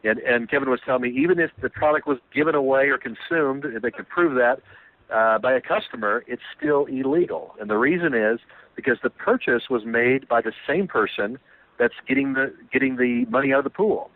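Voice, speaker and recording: A man's voice: mid-range voice, brisk, dry recording. A man presenting his company's plan on a conference call, earnest and repetitive, with many restarts and fillers. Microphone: telephone. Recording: tinny phone line.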